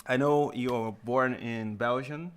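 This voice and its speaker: A man from Brazil with a Brazilian Portuguese accent, whose first language is Portuguese, hosting a livestream interview over a video call in a relaxed way, asking questions and speaking to viewers. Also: medium pitch, a little slow, a little hiss or hum. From Salvador, Bahia, Brazil. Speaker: host.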